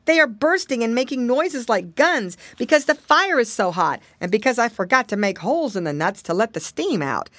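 Woman doing a cheeky playful monkey boy for kids story, high voice, bouncy, eager to explain. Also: sing-song, normal speed, hardly any pauses, dry recording. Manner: bright exclamations, eagerly explaining. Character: playful, knowing male hand organ monkey. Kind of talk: children's storybook character voice.

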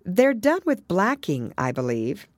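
A woman's voice: lowish voice, sing-song, measured pace, no echo, studio quality, pitched above the performer's own voice. A woman voicing a polite, curious, slightly timid little girl in a storybook, earnest and full of wonder. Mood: curious, surprised.

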